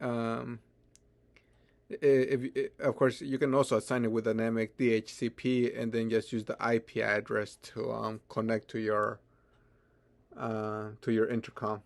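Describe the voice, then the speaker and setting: A man presents a step-by-step software tutorial without a script. His delivery is hesitant and halting, with repeated words and stammered starts, in a mid-pitched voice. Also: level tone, gravelly. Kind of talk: unscripted software screen tutorial.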